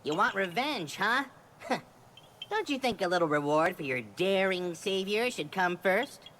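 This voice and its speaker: A woman's voice: medium pitch, animated, unhurried, slight background noise. A woman voicing a small, cocky, chatty forest spirit sidekick in a video game, with slangy, wheedling talk and stammers. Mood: cocky, boastful. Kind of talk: animated video game cutscene acting. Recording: music loud behind the voice. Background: game soundtrack music.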